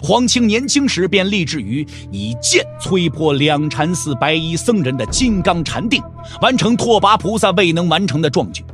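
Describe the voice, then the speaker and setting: A man's voice: on the high side, big pitch swings, normal speed, a bit bright, faint music behind. A man narrating a martial-arts audio drama in a dramatic, emphatic storytelling style.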